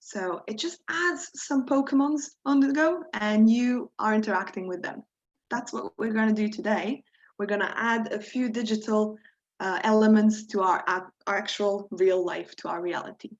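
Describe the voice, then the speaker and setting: A young woman walks viewers through a live online workshop, friendly and encouraging, slipping now and then on small grammar points. Her voice sits at a middling pitch for a woman. The stream audio is a little dull and lo-fi. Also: moderate pace. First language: Croatian. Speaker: workshop leader.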